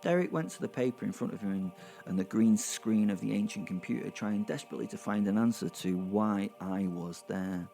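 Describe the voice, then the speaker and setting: A man's voice: lowish voice, brisk, vocal fry, dark tone, faint music behind. A man narrating a dramatic story in the first person, conversational and wry, with rambling, chatty asides. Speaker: narrator and host.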